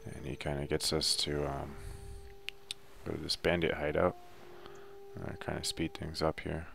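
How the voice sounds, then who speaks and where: A man's voice: a bass voice, level tone, unhurried, creaky, bright, forceful tone, slight background noise. A man giving a laid-back, offhand, unscripted walkthrough commentary as he plays through a video game. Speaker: gameplay guide narrator.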